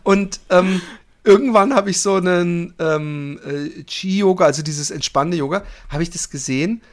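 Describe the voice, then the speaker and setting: A man co-hosting a podcast, chatting casually and humorously, telling things like an animated storyteller. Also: high-pitched, normal speed. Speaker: podcast co-host.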